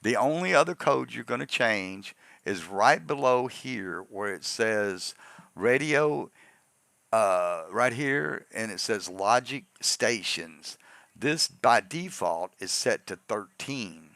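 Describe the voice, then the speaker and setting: A man walks through a software tutorial with a gravelly, creaky voice at a medium pitch. He explains informally and rambles a little from step to step. The sound is dry and close to the mic. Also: animated, slow, dry recording. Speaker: tutorial host. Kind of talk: casual software tutorial narration.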